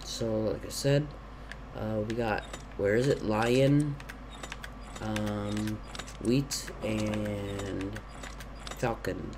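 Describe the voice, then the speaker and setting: A man's voice: on the low side, steady tone, very slow, long pauses. Young guy w/ sore throat n sniffles, rambly let's-play chat into a headset, bit of hiss. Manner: rambling, thinking aloud with many fillers. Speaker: gaming YouTuber. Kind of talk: casual let's-play commentary. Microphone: gaming headset.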